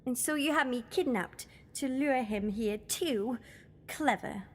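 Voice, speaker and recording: A woman's voice: high-pitched, unhurried. A young woman playing a feisty, wary captive in an audio drama, questioning and sceptical.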